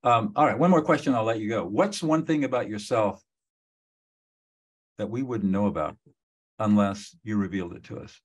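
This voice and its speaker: A man's voice: on the low side, normal speed, long pauses. A man in his seventies hosting an interview podcast, speaking emphatically and encouragingly. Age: 70s. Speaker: podcast host. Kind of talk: interview conversation.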